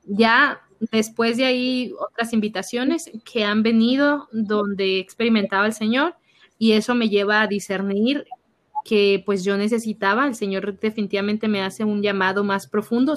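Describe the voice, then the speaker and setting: A young woman with a Mexican accent, a youth minister sharing her faith story in an animated conversational way. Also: mid-range voice, normal speed. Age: young adult. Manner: animated storytelling, acts out her own past reactions. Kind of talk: personal testimony in conversation.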